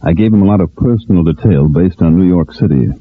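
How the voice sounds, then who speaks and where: A low-voiced undercover agent posing as a newspaperman in an old radio thriller. He talks briskly and informally, on a thin, narrow-band recording. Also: no echo. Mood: confiding, businesslike. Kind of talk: 1940s radio drama acting.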